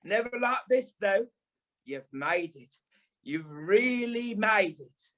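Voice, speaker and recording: Man playing a high-voiced, drawling, flamboyant British dame. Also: animated, takes it slowly, a bit bright, full character voice. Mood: frank, reassuring. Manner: theatrical, tactless, drawling, calls people darling and lovey. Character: flamboyant, blunt older former dancer and ballet teacher, a dame. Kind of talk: audio play acting.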